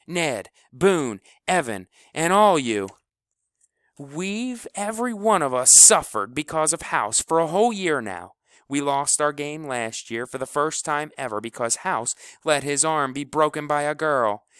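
A man voicing an angry boy bully who shouts accusations in rough, heated speech. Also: fairly low pitch, lively intonation, fairly quick, hardly any pauses, no room sound, clean studio sound. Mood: angry, accusing.

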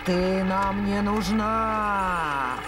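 A woman voicing a stern, hostile forest spirit in a cartoon dub, with slow, drawn-out commands. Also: medium pitch, over music. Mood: angry, accusing. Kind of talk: cartoon dubbing.

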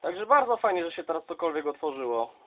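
A man's voice: a little high, big pitch swings, quick pace, very noisy. A man from southern Poland making casual, chummy contact as a CB radio operator, addressing others as colleagues. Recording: dull, lo-fi sound.

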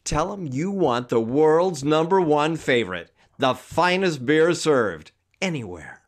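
Lively, higher-voiced 1950s radio announcer played by a man. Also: a little slow. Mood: upbeat, selling. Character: smooth, upbeat 1950s radio sponsor announcer.

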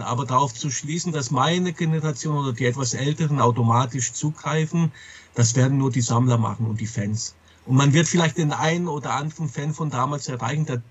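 A middle-aged man with a slightly husky voice, being interviewed and talking candidly and casually, often stopping to correct himself. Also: medium pitch, lo-fi sound. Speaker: comic publisher being interviewed. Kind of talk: casual interview talk about a trade.

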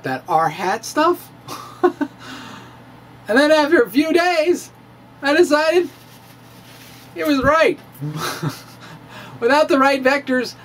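A middle-aged man giving a dramatic reading of a science blog, halting and theatrical with wry asides. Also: high voice, slight background noise. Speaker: amateur physics video blogger. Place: small indoor room with bookshelves. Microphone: handheld-style microphone on a stand, close in front.

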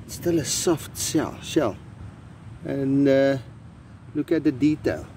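A middle-aged South African man who grew up speaking Afrikaans, hosting a casual vlog on a coastal trail, talking slowly with many fillers and restarts into a handheld phone. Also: mid-range voice, lively intonation, warm tone. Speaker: vlogger host. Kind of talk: casual outdoor vlog narration. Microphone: phone camera held by hand. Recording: faint outdoor noise.

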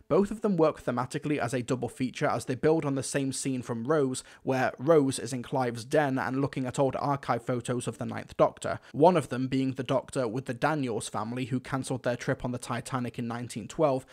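A man delivering scripted review narration as a reviewer. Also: mid-range voice.

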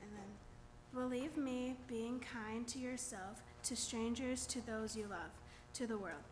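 A woman's voice: fairly high pitch, takes it slowly, faint music behind. A young woman reading a series of quotations at a church lectern, naming each author after. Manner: reads quotes then names the author. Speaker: reader. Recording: echoey church room.

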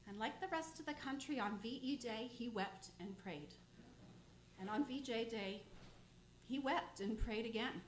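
A woman narrating a radio play as a heavenly figure, hushed at sad moments. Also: a little high, unhurried. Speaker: narrating angel. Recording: roomy, with loud music under.

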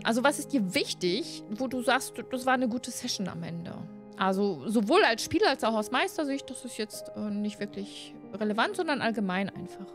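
Middle-aged woman hosting over faint music. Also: a little high. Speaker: stream host, interviewer. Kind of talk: casual chat, interviewing. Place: indoors at home, video call. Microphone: close desk microphone, headphones. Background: background music.

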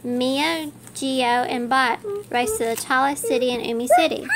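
A woman reading a children's picture book aloud in an animated, encouraging way. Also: sits high, a little slow. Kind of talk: read-aloud children's storybook narration. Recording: faint background noise.

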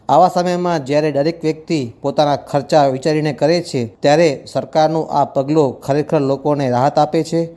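A man's voice: fairly high pitch, mellow. A man giving a scripted, formal customer testimonial.

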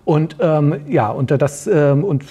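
A man in his forties talks casually in a studio discussion. His voice sits a little high yet stays mellow, with a husky edge. He explains in a halting way, repeating words as he searches for the next one. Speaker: guest author on a talk show. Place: small indoor talk-show studio.

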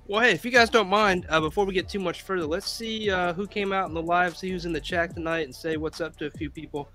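A man hosting a live stream, sounding nasal from a cold, giving upbeat shout-outs to viewers. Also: high voice, quick pace, soft background music. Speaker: host. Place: home studio, pink-lit room. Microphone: studio mic on boom.

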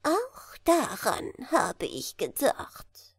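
A woman acts out a male royal chancellor from a storybook. He speaks in a thin, high falsetto, slowly and delicately, coaxing his listeners with care. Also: huge pitch swings, no echo, clean studio sound, faint music behind, exaggerated voice. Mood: sweetly persuasive. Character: high-voiced old royal chancellor, male, flattering and scheming.

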